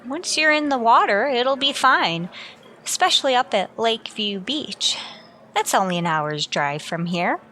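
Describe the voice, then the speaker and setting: A woman playing a wry, lovestruck high school teacher in an old-time radio comedy, with a dry, sly manner. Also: expressive, a little slow, sharp tone. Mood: wry, coaxing. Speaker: lead actor and narrator. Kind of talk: old-time radio comedy acting, first-person narration.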